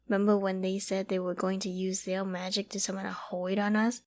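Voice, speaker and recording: A woman acting a clingy, secretly obsessive young apocalypse survivor in a dramatic ASMR audio roleplay, with an emotional, halting, stammering delivery. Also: medium pitch, a little mellow, natural voice. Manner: emotional, halting and stammering, often dropping to whispers and sighs.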